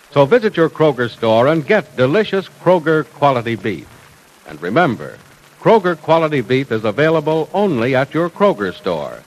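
Man, radio announcer, 1940s, folksy, hearty sales pitch, animated pitch. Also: poor audio.

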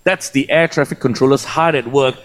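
A man's voice: medium pitch, steady tone, fairly quick, a bit bright. A man with a Malaysian accent co-hosting a live radio breakfast show in a joking, playful, chatty way. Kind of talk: lively live radio banter. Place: air traffic control tower, live broadcast.

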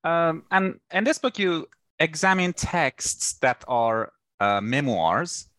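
A man's voice: a little high, lively intonation, takes it slowly, a bit bright, no echo. Male podcast host, polite questions, hesitant ums, dry close sound. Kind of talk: interview podcast hosting.

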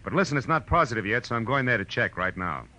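An actor playing a brash, wisecracking private eye with clipped, sardonic delivery, narrating and acting in 1940s radio noir. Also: male voice, mid-range voice, lively intonation, fast talker, bright, forceful tone. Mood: dry, wisecracking. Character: brash, wisecracking male hard-boiled private detective. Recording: faintly noisy old radio recording.

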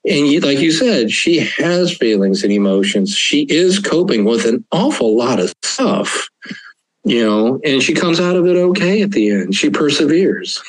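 A man's voice: a little high, very animated, unhurried, no echo, rough recording. A man, an author being interviewed, talking casually with lots of fillers and the odd chuckle. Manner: rambling, chuckling, many fillers. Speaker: author, guest.

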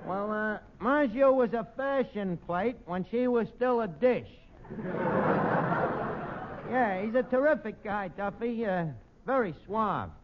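Brash New York barkeep, high animated voice, pompous wisecracker, vintage radio comedy. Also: male voice, slow pace. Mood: breezy, chatty. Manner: pompous malapropisms, streetwise slangy talk. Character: brash, dim, wisecracking male tavern manager mangling big words. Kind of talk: 1940s radio comedy acting. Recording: muffled old radio recording, clear noise.

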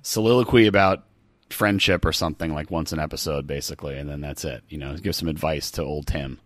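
A man in casual comedic podcast chat, joking in a halting, dry way as co-host. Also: rumbling low, fairly even intonation, a bit bright, no room sound.

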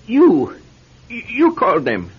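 An actor playing a fearful, paranoid old man in a radio drama, agitated, halting and repeating himself. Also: sits high, wildly swooping pitch, breathy edge, heavily acted. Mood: anxious insistent. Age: older. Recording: muffled radio drama recording, faint noise.

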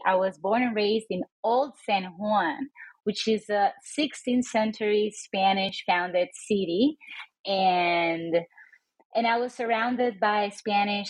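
A woman professor from Puerto Rico talks through her research in an interview. She tells it as a story, often stopping to correct herself, and her pitch sits in the middle. The sound is dry and close. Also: no echo, low-quality recording. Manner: thoughtful, self-correcting storytelling. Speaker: author and professor. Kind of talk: podcast interview answers.

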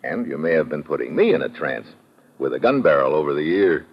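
A man's voice: rumbling low, big pitch swings, a little fast, husky, full character voice. An actor playing a tough, wry American adventurer who narrates his own case in a 1950s radio drama, sardonic and clipped. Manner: hard-boiled, sardonic, clipped. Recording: muffled vintage radio recording.

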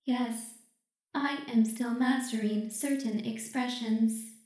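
A man's voice: very high voice, fairly even intonation, slow pace, a little mellow, reverberant, pitched above the performer's own voice. A man voicing a curious, polite young female automaton who speaks earnestly and formally.

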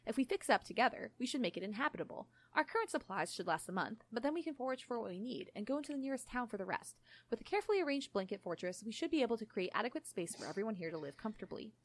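A woman's voice: on the high side, sing-song, rapid-fire, few pauses. Earnest, methodical young man, read by a woman. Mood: reasoning. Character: earnest, matter-of-fact young man explaining odd plans.

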